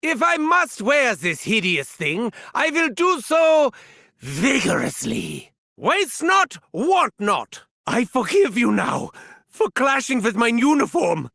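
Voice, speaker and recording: Crazy german scientist for a video game, slow theatrical delivery, super animated, arrogant and sadistic, thick on the drama. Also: male voice, very high-pitched, creaky, no echo, studio quality, heavily acted. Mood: haughty, wry. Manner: theatrical, pompous, florid diction. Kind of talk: theatrical game character barks.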